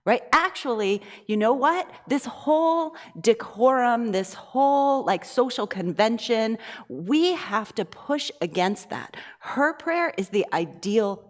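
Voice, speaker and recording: Animated woman discussing in a reverberant hall. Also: fairly high pitch, takes it slowly, few pauses. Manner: animated, laughing often, thinking aloud. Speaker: class participant. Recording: long reverb in a large hall.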